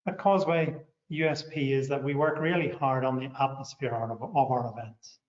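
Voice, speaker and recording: A man's voice: medium pitch, steady tone, normal speed. Northern Irish man in an echoey room. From Northern Ireland. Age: middle-aged. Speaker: company director. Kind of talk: scripted promotional talk. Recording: echoey, muffled room sound.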